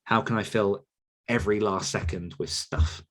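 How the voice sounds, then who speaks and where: Low-voiced man talking reflectively. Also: normal speed. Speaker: podcast host and author coach answering questions. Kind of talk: casual, reflective Q&A talk.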